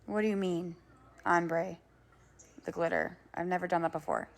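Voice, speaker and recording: A woman's voice: lowish voice, fairly even intonation, moderate pace, gravelly. A woman from Florida livestreaming, thinking aloud and chatting casually while she works on a craft, with a rambling manner and casual swearing.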